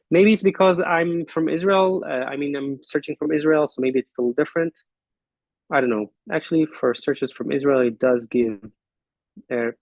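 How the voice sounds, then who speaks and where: Host, male, gravelly, hesitant and thinking aloud, dry close video-call sound. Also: mid-range voice, a little mellow, dry recording, poor audio. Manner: halting, thinking aloud with fillers and restarts. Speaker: host of an online business forum. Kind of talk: casual screen-share walkthrough.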